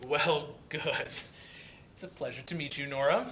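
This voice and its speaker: A man's voice: fairly high pitch, slow pace, a bit bright. Actor as an awkward, caring young man. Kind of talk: naturalistic dramatic play reading. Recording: faint background noise.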